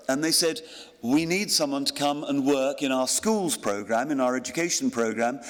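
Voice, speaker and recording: An older man gives a ceremonial address at a graduation. His tone is self-deprecating, with pauses and ums, and his voice sits at an ordinary pitch for a man. The podium mic carries a long reverb from the big hall. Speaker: honorary graduate giving a speech.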